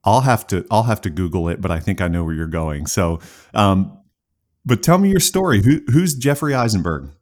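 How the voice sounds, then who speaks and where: A man's voice: deep voice, big pitch swings, normal speed, a little mellow. A man hosting a podcast interview, talking casually in long rambling asides before getting to his questions. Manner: rambling, talkative, self-aware. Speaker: podcast host.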